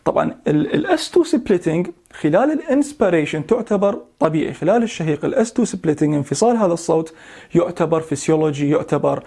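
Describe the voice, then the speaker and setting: Quick high-voiced man teaching medicine. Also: expressive, gravelly, mellow, husky. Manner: mixes English medical terms into Arabic, imitates heart sounds. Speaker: medical lecturer. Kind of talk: explanatory medical lesson.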